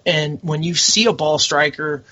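A man's voice: fairly high pitch, fairly even intonation, a little slow, bright, forceful tone, highs cut off. A man chatting casually as a guest, rambling and enthusiastic. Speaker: guest, military veteran. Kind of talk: casual podcast chat.

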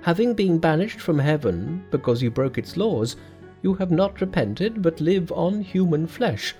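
A man's voice: a little high, very animated, a little slow, warm tone, faint music behind, pitched above the performer's own voice. Man playing a stern female Bodhisattva. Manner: solemn, reproving. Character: serene, stern female Bodhisattva, divine rebuker. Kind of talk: audiobook character voice.

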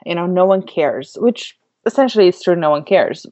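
A woman's voice: fairly low pitch, expressive, normal speed, mellow, highs cut off. A woman from Slovenia answering as an interview guest, chatty and reflective as she tells personal stories. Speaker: podcast guest, business coach.

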